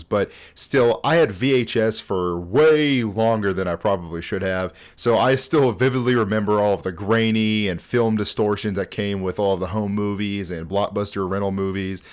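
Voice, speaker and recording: A young man in his twenties hosting a casual, conversational video essay, talking informally through scripted commentary. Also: lowish voice. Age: 20s. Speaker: host.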